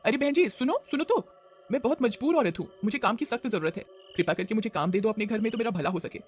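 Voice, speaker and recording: A man's voice: high-pitched, quick pace, soft background music. A man voicing a sly female witch who poses as a servant woman, with a wheedling manner, in a horror story. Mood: pleading. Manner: wheedling, flattering.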